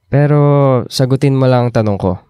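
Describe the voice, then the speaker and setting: A man's voice: medium pitch, brisk, mellow, clear, resonant tone, no echo, clean studio sound, natural voice. A man acting an earnest, insecure young boyfriend in a Filipino radio drama, pressing for commitment. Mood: subdued, pleading.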